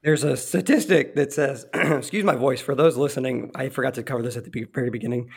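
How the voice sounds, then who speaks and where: A man hosting a podcast interview while losing his voice, talking in a rambling, friendly way and clearing his throat. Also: mid-range voice, quick pace, a little mellow. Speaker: podcast host, real estate investor. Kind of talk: casual interview conversation.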